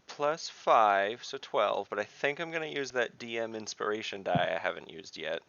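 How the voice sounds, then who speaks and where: A man with a lowish, very creaky voice and a bright edge plays a tabletop game. He speaks casually, pausing as he works out his moves. The recording is dry and a little dull, like an online voice chat. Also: no room sound.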